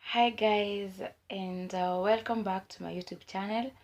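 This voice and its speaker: A young woman vlogger with a hoarse voice from a cold, giving earnest advice in a casual way, with many fillers. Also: mid-range voice, fairly even intonation, unhurried. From Kenya. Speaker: YouTube vlogger.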